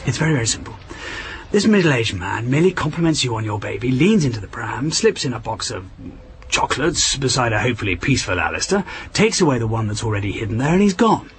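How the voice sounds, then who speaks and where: An actor as an urgent British spy husband, animated delivery. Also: male voice, medium pitch, fast talker, slight background noise, natural voice. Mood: grave, persuasive. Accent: British English. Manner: urgent, persuasive. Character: earnest British intelligence officer husband, tense, persuasive, patronizing. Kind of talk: radio drama acting.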